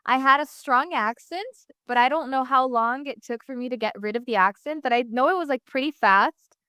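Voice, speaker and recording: A woman's voice: high voice, a little fast, bright, forceful tone, clean tone, dry recording, studio quality. A young woman from the United States, a guest talking casually in an interview, rambling with many fillers and restarts.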